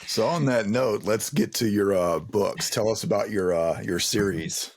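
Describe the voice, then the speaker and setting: A man's voice: fairly low pitch, unhurried, no room sound, studio quality. A man hosting a casual interview in a rambling, conversational way. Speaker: interview host. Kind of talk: casual podcast chat.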